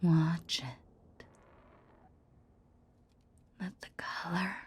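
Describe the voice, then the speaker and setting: Woman acting a very slow, whispering possessive goddess. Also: on the low side, heavily acted. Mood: hypnotic, soothing. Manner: slow, breathy whispering with long pauses, coaxing. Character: possessive, hypnotic goddess coaxing a captive pet, tender yet commanding. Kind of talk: whispered ASMR roleplay. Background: ambient sound effects.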